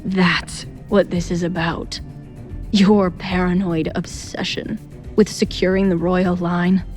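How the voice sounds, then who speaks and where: A woman's voice: lowish voice, little pitch movement, takes it slowly, soft background music. A woman narrator as an indignant, accusing young prince. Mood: disgusted, indignant. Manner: forceful, accusatory. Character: angry young prince confronting his father, proud and indignant. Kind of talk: audio drama storytelling.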